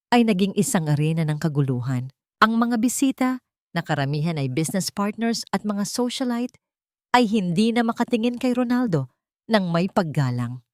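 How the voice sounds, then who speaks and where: A woman's voice: lowish voice, a little mellow, dry recording, clean studio sound. A woman narrating a dramatic first-person audiobook story, reading from a script.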